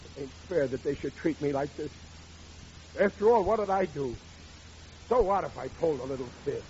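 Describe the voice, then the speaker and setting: An actor playing a blundering, excitable middle-aged working-class American father in a 1940s radio comedy. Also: a little high, normal speed. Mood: guilty, wretched. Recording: muffled, noisy old radio recording.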